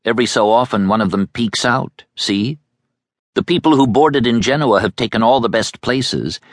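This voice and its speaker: A man's voice: medium pitch, normal speed, dry recording, clean studio sound, voice pushed up, natural voice. A man voicing a streetwise young boy who lectures with brash certainty. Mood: explaining.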